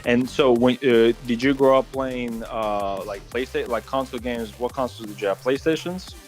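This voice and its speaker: A man in his thirties from Ukraine, casually chatting about video games in a halting, conversational way. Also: medium pitch, faint music behind. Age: 30s.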